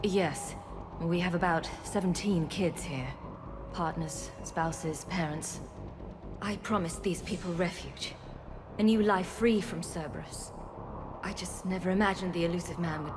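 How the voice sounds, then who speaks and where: Game scientist woman actor, earnest and weary, lowish register, careful delivery, loud rumble behind her. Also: a little slow, lightly acted. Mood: regretful. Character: principled female scientist leading refugees, earnest, weary. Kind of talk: video game cutscene acting.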